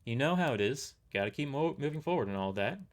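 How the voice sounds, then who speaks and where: A man's voice: medium pitch, expressive, fast, lightly acted. A man voicing a small, casual, unbothered female mercenary in an amateur audio drama. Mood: nonchalant.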